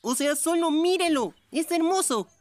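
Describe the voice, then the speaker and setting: High-voiced, excitable, earnest little cartoon animal sidekick, played by a woman, over faint music. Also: moderate pace. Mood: suspicious, chatty. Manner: chatty, eager, comically earnest. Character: small, excitable, naive young cartoon canine sidekick. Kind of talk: animated fan dub acting.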